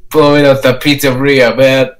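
A man's voice: medium pitch, little pitch movement, moderate pace, a crowd in the background, close to an everyday voice. A man playing a moody, childlike, destructive animatronic bear in an improvised Minecraft roleplay, blunt and casual. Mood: sulky.

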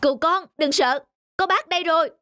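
An actor plays an older male sheepdog from a children's cartoon. He is gentle and protective, speaking with kindly steadiness. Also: very high voice, brisk, faint music behind. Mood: reassuring.

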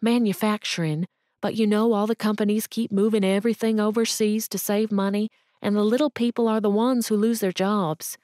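A woman's voice: mid-range voice, steady tone, brisk, darkish tone, dry recording, studio quality, voice pushed up, lightly acted. A woman voicing a desperate jobless mother who pleads through tears, her voice breaking. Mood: weary. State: crying.

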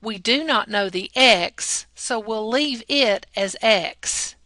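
A woman's voice: a little high, takes it slowly, sharp tone, no echo. A woman math lab technician explaining a statistics problem step by step in a patient, teacherly voice-over. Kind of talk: slow, deliberate classroom explanation over slides.

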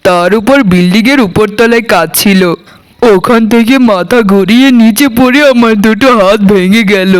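A man's voice: sits high, clean tone, natural voice. A man voicing a poor, put-upon labourer husband in a cartoon, plaintive and reproachful. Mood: despairing. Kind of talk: Bengali cartoon voice acting. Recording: music behind the voice. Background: music.

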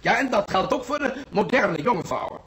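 A man's voice: sits high, sing-song, normal speed, fairly bright tone, a little hiss or hum. An actor playing a prim, fussy, pompous male hospital patient in a radio drama. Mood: indignant, prim. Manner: pompous, formal wording. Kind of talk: old radio drama acting.

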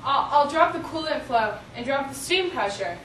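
A young teenage girl playing an anxious, halting reactor engineer in an amateur school drama. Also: high-pitched, expressive, fairly bright tone. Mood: worried. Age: young teen. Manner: halting, stammering. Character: anxious reactor engineer pushing through a risky procedure. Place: small office. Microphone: far camera. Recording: roomy, distant camera mic, faint noise.

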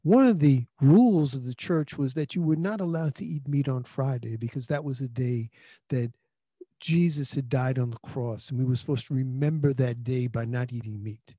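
New pastor preaching into handheld mic. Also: male voice, mid-range voice, moderate pace, warm tone, slightly rough, no echo. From New York. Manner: earnest conversational preaching with frequent restarts. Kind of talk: sermon. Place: small indoor room. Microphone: handheld microphone.

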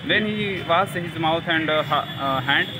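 A young man with an Indian English accent, a student telling a local legend with many pauses and fillers. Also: on the high side. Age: young adult. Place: outdoors at a temple ground. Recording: loud outdoor background noise. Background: traffic noise.

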